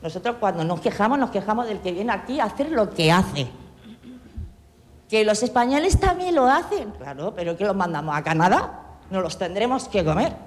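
A woman's voice: mid-range voice, sing-song, moderate pace, in a large hall. A woman from Catalonia, a town councillor, giving a heated, sarcastic speech full of rhetorical questions in a council debate. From Catalonia, Spain.

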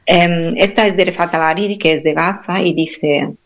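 A woman, a radio guest who talks earnestly and haltingly, searching for words. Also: fairly low pitch, fairly even intonation. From Palestine. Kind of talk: interview with poetry reading. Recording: dull lo-fi sound.

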